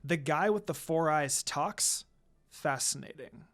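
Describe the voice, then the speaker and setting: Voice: mid-range voice, takes it slowly, a bit bright, pitched below the performer's own voice. A narrator as a cool, teasing young ninja woman. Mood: mocking. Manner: dry, mocking, understated.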